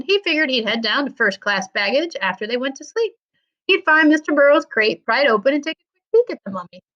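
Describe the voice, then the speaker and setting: A woman, a school librarian, reading a children's story aloud with expressive storytelling. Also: fairly high pitch, lo-fi sound.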